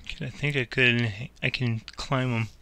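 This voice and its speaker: A man's voice: mid-range voice, steady tone, moderate pace, sharp tone, smooth. A man playing an air traffic controller, mixing radio phraseology with casual commentary on his game.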